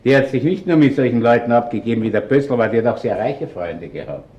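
A man's voice: mid-range voice, lively intonation, darkish tone, highs cut off, lightly acted. Shrewd Viennese police inspector, played by a man. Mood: conversational, probing. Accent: Viennese Austrian. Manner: colloquial, deliberate, insinuating. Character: shrewd, chatty male police chief inspector, calmly probing. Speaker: actor. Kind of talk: Austrian radio crime drama acting.

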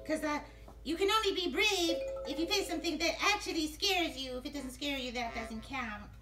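A woman's voice: sits high, fairly quick, lightly acted. A woman voicing a brave, boastful little boy puppet in a children's show, speaking in an earnest, childlike, exclamatory way. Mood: bold, excited. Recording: roomy echo with music behind.

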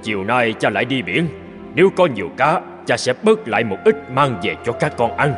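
Guy doing old fisherman dad in a kids cartoon, worried, very up and down pitch, music under it. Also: sing-song, brisk, close to an everyday voice. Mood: weary. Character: burly old fisherman father, anxious and regretful. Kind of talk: animated fairy-tale dialogue.

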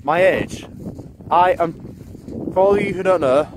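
A man's voice: high voice, a little slow. A man, rambling and correcting himself while vlogging on a walk. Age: 20s. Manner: rambling, many restarts and self-corrections. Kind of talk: rambling casual vlog. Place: outdoors on a windy grassy embankment. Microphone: phone held at arm's length. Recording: phone audio with loud wind noise.

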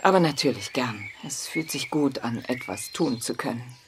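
An actress playing an anxious, gentle, hopeful lady of the house in a German audio drama, polite and earnest. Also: extremely low pitch, expressive, normal speed, a little hiss or hum.

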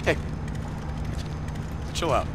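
An actor playing a swaggering, sarcastic, hot-tempered biker gang leader in a video game cutscene. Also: male voice, very slow, background noise. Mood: rousing. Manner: cocky, sarcastic, profane.

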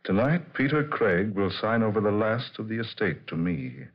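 Casting: crooked lawyer, deep and coolly formal, on a dull 1940s radio recording. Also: male voice, very animated, normal speed, slightly rough. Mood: cold, scheming. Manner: calculating, formal. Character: scheming, smooth-talking crooked male lawyer.

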